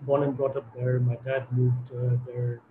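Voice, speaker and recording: A man's voice: medium pitch, monotone, unhurried, slight background noise. A man in his forties from Switzerland giving an online talk as a guest photographer, speaking hesitantly with many fillers and restarts over a video call. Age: 40s. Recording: tinny phone-like online call audio.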